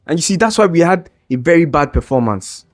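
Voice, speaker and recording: Young guy ranting hard, high voice kinda strained, keeps tripping over his words, quiet music under it. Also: animated, brisk. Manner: heated, exasperated, repeating himself and stumbling on restarts. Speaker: football fan vlogger. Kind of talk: ranting reaction video.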